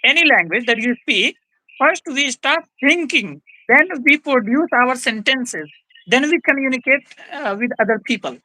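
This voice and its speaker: A woman's voice: sits high, expressive, moderate pace, sharp tone, no room sound, low-quality recording. A woman explaining language-learning tips in a conversation, firm and repeating her key points. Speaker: English-speaking guest.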